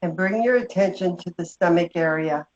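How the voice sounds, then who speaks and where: A woman leading a guided meditation, giving slow, gentle instructions with long pauses. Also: on the low side. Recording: dull, muffled lo-fi sound.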